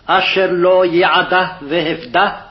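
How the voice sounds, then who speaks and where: A man's voice: high voice, level tone, quick pace. A man formally reciting scripture phrase by phrase, on a dull, lo-fi archival recording. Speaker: scripture reader. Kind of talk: scripted Hebrew Bible reading.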